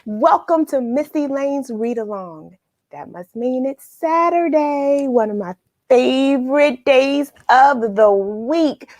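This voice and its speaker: A woman hosting a live read-along for children, chatting with viewers in an upbeat, excited manner. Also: high-pitched, slow pace, dry recording.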